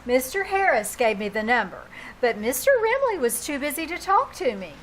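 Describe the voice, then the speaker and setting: A woman's voice: sits high, sing-song, moderate pace, a little hiss or hum, rough recording. A woman playing a patient, genteel, placating society wife in a 1940s-style radio comedy. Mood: explaining calmly. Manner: polite, placating. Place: live small theater stage.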